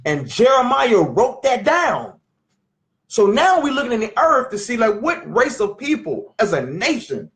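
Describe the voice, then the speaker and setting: A man, teaching scripture in an animated, questioning way at a family sit-down. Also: very high voice. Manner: emphatic, questioning, drawn-out teaching. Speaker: Bible teacher. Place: living room at a family sit-down.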